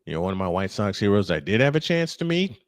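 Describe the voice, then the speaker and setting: A man's voice: fairly low pitch, very animated, fast, no room sound, clean studio sound. A man calling live baseball play-by-play in punchy, clipped phrases, mixed with casual chat. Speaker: play-by-play announcer and host.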